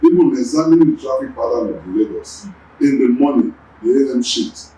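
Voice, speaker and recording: A man's voice: mid-range voice, expressive, mellow, far from the mic, a little hiss or hum. Nigerian man answering from off-mic in an echoey room. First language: Yoruba. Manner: deliberate, repeats words while gathering thoughts. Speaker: traffic agency general manager. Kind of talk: measured official interview answers.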